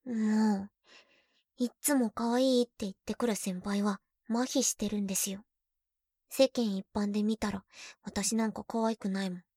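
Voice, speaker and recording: A young woman playing a teasing, shy girlfriend who is junior to the listener, in an ASMR roleplay, talking playfully and dropping into soft whispers. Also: mid-range voice, no echo, studio quality.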